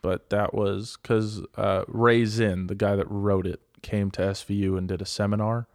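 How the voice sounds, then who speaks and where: Low-voiced American man, dry close sound. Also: flat delivery, moderate pace, no echo. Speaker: podcast co-host. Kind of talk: casual banter.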